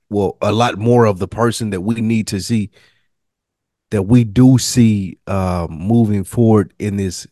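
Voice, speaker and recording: Casting: young adult male podcast co-host, deliberate, thoughtful, recorded dry and close. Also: on the low side, a little mellow, dry recording. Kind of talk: casual chat.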